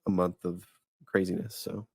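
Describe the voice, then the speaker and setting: A man's voice: sits low, level tone, moderate pace, a little mellow, husky, no room sound, studio quality. A man in his thirties co-hosting a faith podcast, chatting casually and rambling with many restarts and fillers. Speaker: podcast host. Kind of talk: casual chat.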